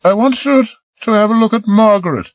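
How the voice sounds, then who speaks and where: A spirited young woman pressing hard to get her way, her voice pitched high and pushed forward, as a male narrator puts her on. The reading is close and dry, with no room sound around it. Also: fairly quick, no room sound, lightly acted. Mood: insistent. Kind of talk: audiobook reading.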